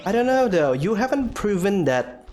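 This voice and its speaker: A woman's voice: mid-range voice, very animated, normal speed, darkish tone, faint music behind. A woman giving casual commentary as she streams a game.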